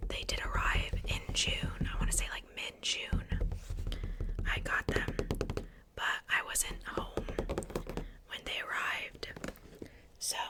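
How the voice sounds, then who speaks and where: A woman hosting an ASMR unboxing in a breathy, unvoiced whisper, softly repeating words and pausing between phrases. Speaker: ASMR video host.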